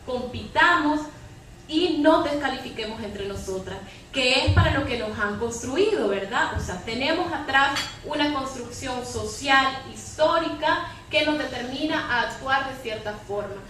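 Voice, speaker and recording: High-pitched woman in an echoey hall. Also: lively intonation, unhurried, sharp tone. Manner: analytical, emphatic, often adds a questioning tag. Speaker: panelist in a public debate. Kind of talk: impassioned argument in a public debate. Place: echoey room at a public debate. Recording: echoey hall, distant mic, background noise.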